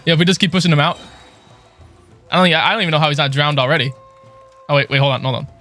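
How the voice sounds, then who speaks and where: A man's voice: a little high, fast talker, pushed and bright. A young man giving casual, joking live commentary on a game in a flippant way. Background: game music.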